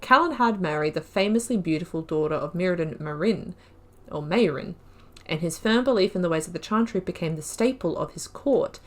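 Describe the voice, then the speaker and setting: Chatty woman reading game lore, low voice. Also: lively intonation, brisk. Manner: chatty, trails off and restarts mid-sentence. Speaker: gaming video host. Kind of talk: reading game lore aloud with casual commentary.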